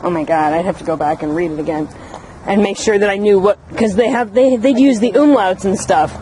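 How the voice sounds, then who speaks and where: A woman's voice: mid-range voice, fairly quick, low-quality recording. A woman chatting casually to camera as she sightsees, joking and slangy, sometimes reading aloud. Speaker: vlogger filming a sightseeing visit. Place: outdoors at a city memorial. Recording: loud outdoor ambient noise.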